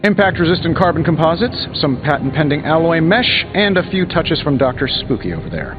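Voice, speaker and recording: A cocky sarcastic armored hero inventor, game dialogue, kinda dull audio w loud music under. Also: male voice, fairly high pitch, big pitch swings. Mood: playful, sarcastic. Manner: glib, sarcastic, quick-witted. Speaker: video game voice actor. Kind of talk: video game dialogue acting.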